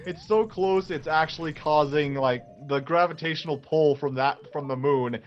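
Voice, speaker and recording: A young man co-hosting a let's play with casual, agreeable gaming commentary, delivered in a halting, conversational way. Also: fairly high pitch, lo-fi sound.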